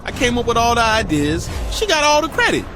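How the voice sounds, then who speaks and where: A man acting a vain, peevish celebrity gangster in a video game, animated, boastful and self-pitying. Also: extremely high pitch, a little fast, noisy background, full character voice. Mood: aggrieved.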